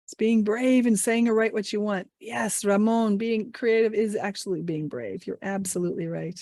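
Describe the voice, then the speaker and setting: A woman's voice: mid-range voice, steady tone, normal speed, dark tone, dry recording, clean studio sound. A woman trainer leading an online workshop, upbeat and encouraging, asking the group many questions. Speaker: English language trainer. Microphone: video call mic.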